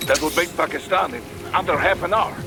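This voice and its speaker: An actor playing an excitable male support operator, reacting quickly and nervously. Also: on the high side, creaky. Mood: worried. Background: music.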